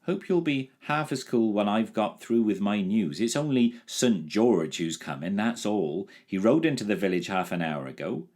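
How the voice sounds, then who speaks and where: Man reading as an excitable storybook boy, high and animated. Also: moderate pace, hardly any pauses. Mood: eager. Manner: earnest, pleading. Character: eager, excitable young boy.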